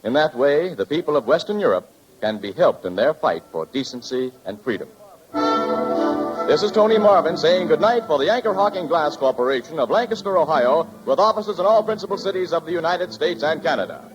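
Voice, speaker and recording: A man's voice: fairly high pitch, big pitch swings, brisk. A man announcing on 1940s radio in a formal, declamatory style. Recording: dull old radio recording with music. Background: music.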